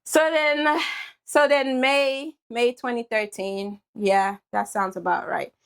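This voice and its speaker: A woman's voice: a little high, fairly even intonation, slow, vocal fry, a bit bright, smooth. A woman in her twenties from Haiti with a Haitian accent, a podcast guest talking through her story in a reflective, casual way, full of "you know". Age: 20s.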